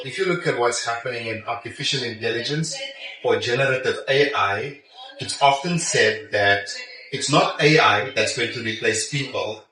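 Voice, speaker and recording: A middle-aged man with a South African accent giving conversational interview answers as a technology executive, often checking in with a questioning "yeah?". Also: medium pitch. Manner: frequent "yeah?" check-in tags. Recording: echoey, distant mic, busy crowd chatter.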